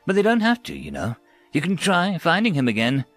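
A man voicing a calm, shrewd woman prisoner in a story, soothing and gently probing. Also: fairly high pitch, brisk, soft background music, voice pushed up, heavily acted.

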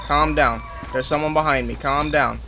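A man's voice: fairly high pitch, fairly even intonation, moderate pace, vocal fry, noisy background. A man in his twenties doing casual, slangy reaction commentary as he plays a horror game, tossing out joking asides. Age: 20s. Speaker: gaming video host. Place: indoor gaming setup. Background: game music.

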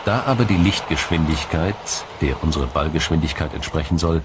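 A man reading a scripted science documentary in a measured, formal, explanatory manner. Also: rumbling low, noisy background. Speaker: documentary narrator.

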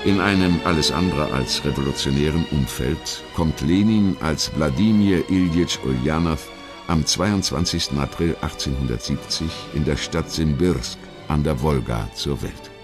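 A man reading scripted documentary narration in a measured, dramatic storytelling manner. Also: rumbling low, music in the background.

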